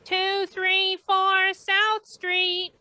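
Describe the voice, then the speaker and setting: A woman playing a detached dispatcher in a radio drama, announcing in a flat, repetitive way. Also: very high voice, extremely slow, background noise, full character voice. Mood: detached, monotone. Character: detached female dispatcher calling out an address. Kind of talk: old-time radio drama acting.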